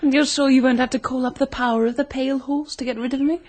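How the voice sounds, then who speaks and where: Spirited British sweetheart for radio mystery: young, teasing, arch, fairly high voice. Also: female voice, fairly even intonation, fast talker, darkish tone. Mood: teasing, self-conscious. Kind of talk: British radio drama acting.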